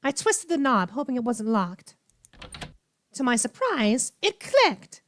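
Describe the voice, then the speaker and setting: A woman's voice: a little high, big pitch swings, slow. A woman voicing a panicked, drugged female captive in a visual novel, with dramatic exclamations. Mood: tense.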